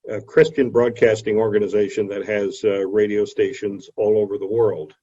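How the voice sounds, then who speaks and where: Mellow-voiced man lecturing over video call. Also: fairly low pitch, slightly rough. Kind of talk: illustrated history lecture. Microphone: video call microphone. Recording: dull video call audio.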